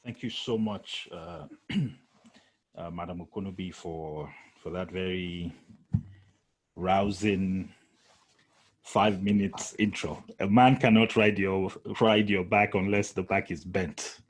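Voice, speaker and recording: A man moderating a panel discussion, speaking haltingly. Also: deep voice, steady tone.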